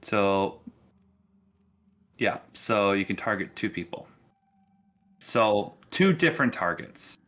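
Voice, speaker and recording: A man's voice: lowish voice, expressive, takes it slowly, long pauses, a bit bright. A man acting as game master, casually narrating a tabletop role-playing session and explaining its rules.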